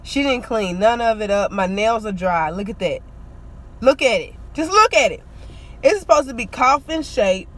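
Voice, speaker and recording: A woman's voice: fairly high pitch, normal speed. A young woman, ranting indignantly to her phone camera from inside a parked car. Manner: indignant, animated complaining. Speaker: beauty vlogger. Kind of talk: casual vlog rant to camera. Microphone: phone camera at arm's length.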